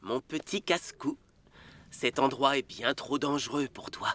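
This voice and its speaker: An actor playing a brave, kind father bear in an animated film, gentle, reassuring and expressive. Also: mid-range voice, unhurried, close to an everyday voice. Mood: tender. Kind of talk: dubbed animated film acting.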